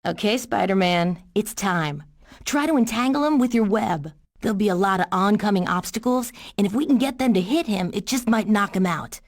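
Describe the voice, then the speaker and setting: Woman as focused mid-pitched game ally. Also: normal speed, close to an everyday voice. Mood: urgent coaching. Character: focused female partner giving combat instructions. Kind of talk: video game voice acting.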